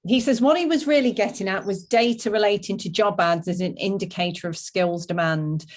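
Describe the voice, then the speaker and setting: A woman's voice: mid-range voice, brisk. A woman hosting a webinar, moderating and reading out comments and questions from attendees. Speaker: webinar host.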